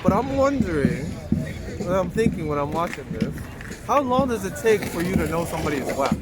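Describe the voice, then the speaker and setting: A man's voice: high-pitched, sing-song, brisk, very noisy. A man asking informal, slangy questions as a casual street interviewer. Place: outdoors in a city street.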